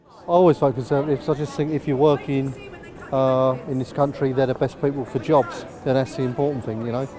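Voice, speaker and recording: A man's voice: medium pitch, steady tone, a little fast, crowd noise. A man giving hesitant, considered answers to a street interviewer. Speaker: passer-by.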